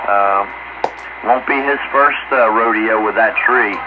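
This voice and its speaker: A man's voice: fairly low pitch, a little slow. A man chatting casually over amateur radio in a folksy, easygoing manner. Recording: muffled radio transmission, heavy static.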